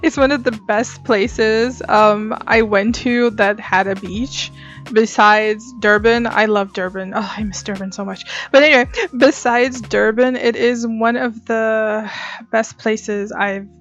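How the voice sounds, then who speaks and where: Young woman, high voice, slow chatty delivery, breezy and gushing, casual story-time host over music. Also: steady tone, lo-fi sound.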